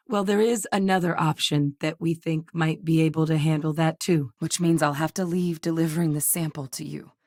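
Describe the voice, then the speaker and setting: An actress playing a capable, guarded soldier-scientist in a sci-fi video game, speaking in a measured, dry, matter-of-fact way. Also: female voice, low-pitched, a little mellow, no room sound. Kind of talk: sci-fi game character dialogue.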